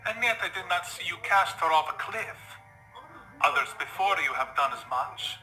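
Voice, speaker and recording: A man's voice: high voice, animated, moderate pace, sharp tone, soft background music, voice pushed up, heavily acted, low-quality recording. A man voicing a menacing, courtly vampire stallion in an audio story, with an Eastern European accent and a pleasantly lilting, threatening delivery. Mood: mocking. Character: menacing, courtly male vampire stallion stranger, possessive father.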